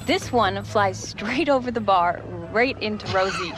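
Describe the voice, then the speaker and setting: A woman's voice: mid-range voice, measured pace, music in the background, close to an everyday voice. An actress playing a chatty, self-assured teenage girl footballer in a casual video game cutscene. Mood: upbeat, chatty.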